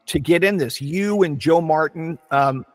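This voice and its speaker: A male podcast host with a fairly high voice stumbles into his questions, repeating words before he gets them out. A long reverb trails after his voice. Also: measured pace. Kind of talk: casual interview questions.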